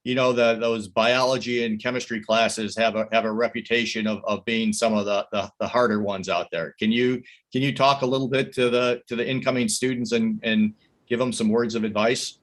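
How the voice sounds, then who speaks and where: A man's voice: mid-range voice, fairly even intonation, normal speed. A man moderating an online welcome session for students, often stammering and repeating words as he hands over to each speaker. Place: video call.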